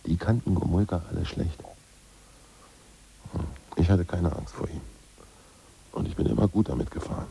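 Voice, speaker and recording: An ousted old party boss in a radio play, plotting in a deep, gravelly voice that swings up and down. His tone is husky and dark, and he often drops to a hushed whisper. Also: lively intonation, normal speed, pauses often, full character voice. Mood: reminiscing. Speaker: ousted Politburo member. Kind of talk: 1980s radio play acting.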